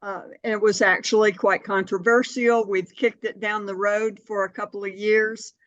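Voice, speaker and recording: A dull, slightly lo-fi and dry recording of an older woman. She speaks as an interview guest, explaining things in a measured, conversational way. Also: medium pitch, flat delivery, no room sound. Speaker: interview guest, city board member and anti-hunger advocate.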